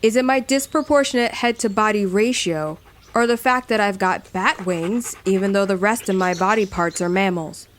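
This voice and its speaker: A woman's voice: medium pitch, normal speed, slight background noise, close to an everyday voice. A woman playing a rude, mistrustful Jersey Devil creature in an audio drama, blunt, indignant and sarcastic. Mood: defensive, sarcastic.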